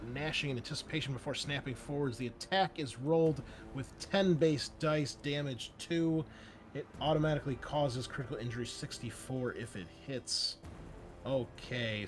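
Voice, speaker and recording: Male game master with music underneath. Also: mid-range voice. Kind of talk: running a tabletop roleplaying game, narrating action and rulings. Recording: music behind the voice.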